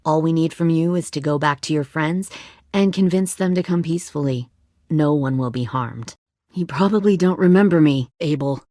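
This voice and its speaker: A woman acting a hardened, menacing leader of raiders in a zombie video game, speaking in a cold, coercive, deliberate way. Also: deep voice, dark tone, dry recording, clean studio sound, close to an everyday voice. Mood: coolly persuasive.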